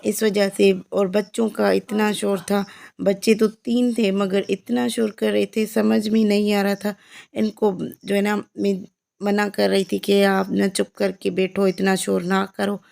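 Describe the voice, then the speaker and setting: A woman with a Pakistani accent and a hoarse, heavy voice, narrating her village vlog in a chatty, repetitive way. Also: medium pitch, little pitch movement, measured pace, darkish tone. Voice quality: heavy, croaky voice. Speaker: family vlogger. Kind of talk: casual vlog voice-over.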